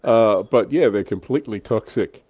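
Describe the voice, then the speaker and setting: Middle aged guy hosting a hobby stream, lowish voice, close dry sound, jokey and casual. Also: normal speed, darkish tone, slightly rough, no room sound. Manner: informal, joking, mild swearing. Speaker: livestream host.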